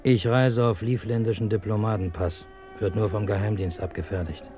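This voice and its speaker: An actor in a German radio drama playing a cynical intelligence contact, clipped, wry and matter-of-fact. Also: male voice, lowish voice, fairly even intonation, moderate pace, lightly acted. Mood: businesslike. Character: dry, cynical male intelligence contact, coolly businesslike.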